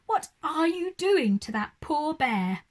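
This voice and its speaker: A woman's voice: high-pitched, sing-song, slow pace, voice pushed up, full character voice. A woman voicing a concerned, well-meaning mother with a fretful manner. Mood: alarmed.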